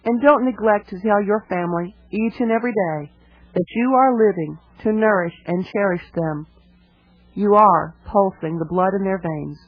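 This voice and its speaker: A woman hosting a radio broadcast, reading a script in a measured, formal and earnest way. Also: medium pitch, no room sound. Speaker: radio show host. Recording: dull lo-fi radio recording.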